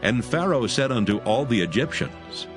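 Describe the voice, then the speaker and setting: A man's voice: medium pitch, very animated, moderate pace. A man narrating scripture as a dramatized audio story in a formal storytelling style. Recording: music behind the voice.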